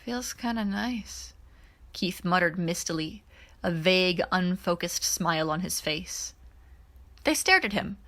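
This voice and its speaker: A woman reading fiction aloud as an expressive amateur audiobook narrator. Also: fairly low pitch, measured pace. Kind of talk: expressive scripted story narration.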